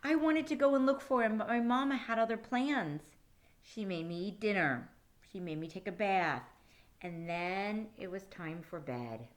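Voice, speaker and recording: A woman voicing a caring, earnest young girl who narrates a picture book in a dramatic, expressive way. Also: medium pitch, normal speed, natural voice. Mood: wistful. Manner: dramatic, expressive storybook delivery.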